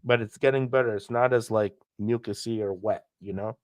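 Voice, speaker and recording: A man's voice: fairly low pitch, fairly even intonation, moderate pace, darkish tone, no room sound. A man hosting a casual livestream, chatting to viewers about price charts while congested from a cold. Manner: chatty, talks straight to viewers and chat. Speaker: crypto livestream host. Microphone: headphones with mic.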